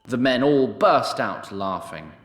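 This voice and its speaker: A male storyteller reads scripted fantasy narration. He is measured and dryly wry, with a medium-pitched voice. The recording has a noticeable room echo. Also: expressive.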